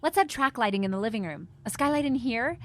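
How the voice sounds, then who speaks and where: A woman's voice: fairly high pitch, expressive, fast, fairly bright tone, a little hiss or hum. An actress playing a headstrong, argumentative single woman lawyer in a romantic comedy radio play. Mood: insistent, sparring.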